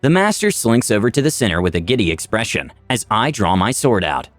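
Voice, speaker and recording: A man's voice: lowish voice, sing-song, fairly quick, dry recording, soft background music. A man narrating a scripted fantasy adventure story in a dramatic, first-person storytelling style. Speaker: channel narrator.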